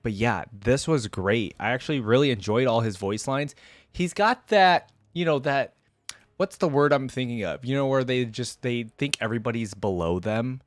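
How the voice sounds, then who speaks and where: A man's voice: medium pitch, expressive, normal speed, dry recording. A man hosting a fan reaction video with casual commentary, rambling informally and thinking aloud as he talks to viewers. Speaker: host of a fan reaction channel.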